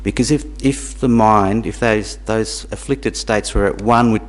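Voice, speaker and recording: Low-voiced man giving a dharma talk. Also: fairly even intonation. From Tanzania. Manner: halting, repeats words, asks the audience questions. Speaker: Buddhist teacher. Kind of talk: dharma talk to a small group.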